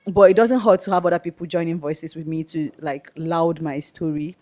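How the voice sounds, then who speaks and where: Young nigerian woman, loud voice, talks earnest and direct, lowish pitch. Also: steady tone, normal speed, soft background music. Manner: earnest and outspoken. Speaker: guest, activist. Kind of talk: conversational interview.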